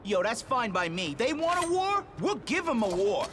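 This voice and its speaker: A man's voice: very high-pitched, very animated, moderate pace, heavy background noise. A man voicing a brash, hot-headed teenage mutant turtle in a video game, sarcastic and combative. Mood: cocky, combative. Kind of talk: video game cartoon voice acting.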